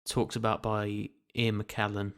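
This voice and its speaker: A man with a low voice and very little rise and fall in pitch. He talks as a podcast co-host, explaining casually and qualifying what he says as he goes. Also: monotone, measured pace, creaky, a bit bright, smooth.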